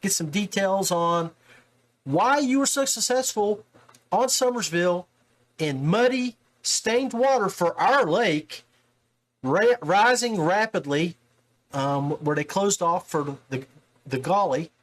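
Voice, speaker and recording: An American man hosting a fishing-show style livestream in a casual, folksy, enthusiastic manner. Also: high-pitched. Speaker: podcast host.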